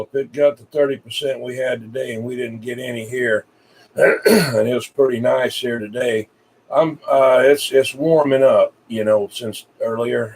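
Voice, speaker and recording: Southern US man, low-pitched, folksy rambling radio chat, recorded off-mic with some noise. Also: a little mellow. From South Carolina, United States. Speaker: CB radio operator. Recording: distant mic with background hiss.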